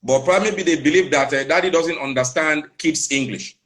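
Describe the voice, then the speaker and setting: A Nigerian man with a Nigerian accent answering interview questions calmly, explaining at length and repeating phrases. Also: a little high, brisk. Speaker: father and channel runner.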